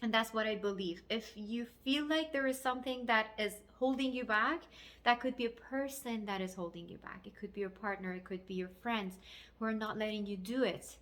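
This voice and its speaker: Persian accent woman, native farsi, kinda high voice, life coach doing an earnest motivational vlog talk. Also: fairly quick, a bit bright. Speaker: life coach and video host.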